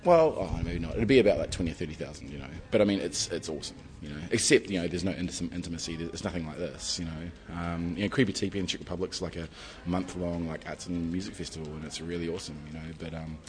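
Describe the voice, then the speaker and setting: Deep voiced kiwi guy rattling on fast about his festival, lots of you knows. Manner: rambling, filler-heavy, enthusiastic. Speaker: festival organiser. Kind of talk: casual interview.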